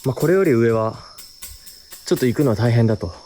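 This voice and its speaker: A man's voice: mid-range voice, expressive, fairly quick. A man hosting a ghost hunt with casual on-location chat, recorded outdoors at night. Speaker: host of a ghost-hunting video, leads the exploration. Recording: very noisy outdoor recording, insects, clicks. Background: loud crickets, insects, clicking.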